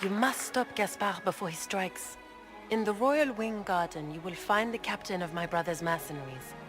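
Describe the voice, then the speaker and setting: A woman's voice: medium pitch, moderate pace, music in the background, crowd noise, natural voice. An actress playing a haughty, scheming noblewoman in a video game, speaking in a condescending, theatrical, aristocratic way. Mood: smooth, conspiratorial. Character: haughty, scheming noblewoman villain, silkily cruel and gloating. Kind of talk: dramatic video game acting.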